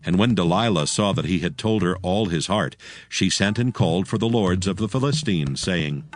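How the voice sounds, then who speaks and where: Deep, expressive male scripture narrator. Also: moderate pace, faint music behind.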